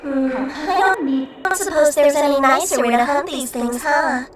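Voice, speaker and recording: A woman's voice: high voice, measured pace. A woman voicing an impish, teasing female shadow creature in a fan-made video game dub, with a mocking, sardonic manner. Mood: playful, flippant. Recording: roomy, distant mic under music.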